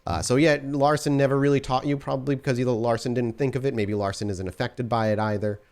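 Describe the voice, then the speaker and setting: A man narrating and explaining rules as storyteller of a tabletop horror game, in a relaxed way with frequent fillers. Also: medium pitch, fast talker.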